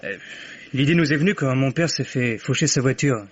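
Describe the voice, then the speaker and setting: An actor playing a naive, cocky teenage joyrider in a French radio mystery, stammering and hesitating earnestly. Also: male voice, mid-range voice, a little fast, close to an everyday voice. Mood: sheepish, proud. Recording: muffled vintage radio recording.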